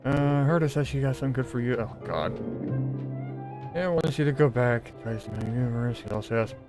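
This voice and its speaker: A man gives casual commentary as a video game streamer. His medium-pitched voice moves around a lot and his delivery is informal, with clear game music underneath. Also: lively intonation, a little fast. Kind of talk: casual let's-play commentary.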